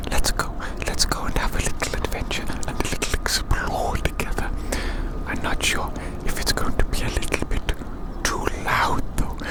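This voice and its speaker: An ASMR creator whispering soothingly outdoors on a city walk, slowly repeating short phrases. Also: poor audio. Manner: soothing, slow repetition of phrases.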